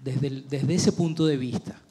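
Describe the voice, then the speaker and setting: A middle-aged man from Chile, a film director answering festival interview questions thoughtfully, with many pauses and restarts. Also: mid-range voice, steady tone, mellow.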